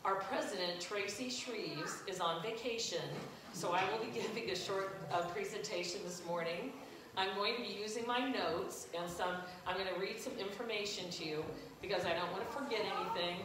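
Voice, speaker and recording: An older woman on the church council reading announcements from her notes in a loud, plain voice in a church sanctuary. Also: mid-range voice, normal speed, noisy background. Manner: loud, plain reading with a self-deprecating aside. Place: large echoey church sanctuary. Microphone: far camera at the back. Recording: echoey church from far off.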